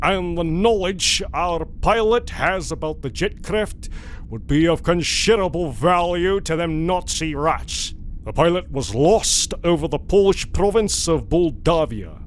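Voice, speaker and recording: A man voicing an older, determined American tycoon giving deliberate orders in a motion comic adventure. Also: on the high side, lively intonation, a little hiss or hum. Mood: grave, determined. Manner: deliberate, authoritative speeches. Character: old American industrial tycoon, gruff and determined.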